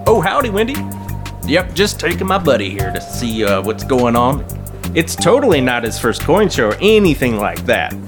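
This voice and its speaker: Actor as a folksy, excitable small-town hobbyist guy. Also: mid-range voice, normal speed, music in the background, lightly acted. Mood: jovial, chatty. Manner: folksy, chuckling, chatty small-town slang. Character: eager folksy small-town coin and metal-detecting enthusiast man. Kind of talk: audio drama dialogue.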